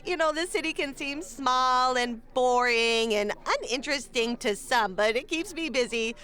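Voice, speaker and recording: A woman's voice: high-pitched, moderate pace, pushed and bright. A woman playing a haughty, fussy mayor with a theatrical, snobbish manner in a comedy audio drama. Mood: falsely gracious. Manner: theatrical, snobbish, gushing falsely in public. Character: haughty, fussy female town mayor, disdainful of pets.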